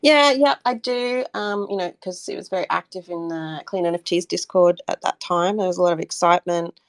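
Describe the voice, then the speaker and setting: A woman speaks in a lowish register with plenty of vocal fry. She is a guest in a casual interview, feeling her way through answers hesitantly, and the sound is dry and close. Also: fairly quick, no echo. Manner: hesitant, thinking aloud with frequent "I guess". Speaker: interview guest, community member and artist.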